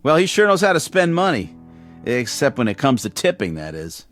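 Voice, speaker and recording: An actor playing a friendly, easygoing nineteen-year-old elevator operator in a television drama, with a colloquial, eager and deferential way of talking. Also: male voice, mid-range voice, wildly swooping pitch, normal speed, a bit bright, soft background music. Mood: chatty, eager.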